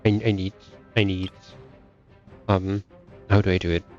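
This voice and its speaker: Guy streaming, real low voice, casual reactions, kinda dull mic, bit of game music. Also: little pitch movement, measured pace, darkish tone.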